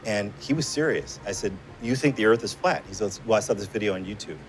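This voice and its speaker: A man's voice: on the low side, fast, a little hiss or hum, low-quality recording. A middle-aged man in a casual documentary conversation, speaking earnestly and a little hesitantly. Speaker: documentary maker conducting interview.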